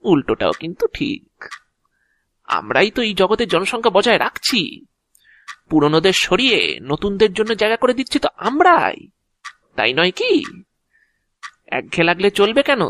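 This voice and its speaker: A man's voice: sits high, a bit bright, no room sound, studio quality. An actor playing an ancient, talkative agent of Death in an audio drama, explaining his work proudly in a theatrical, officious yet chatty way. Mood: indignant, proud. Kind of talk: Bengali audio drama acting.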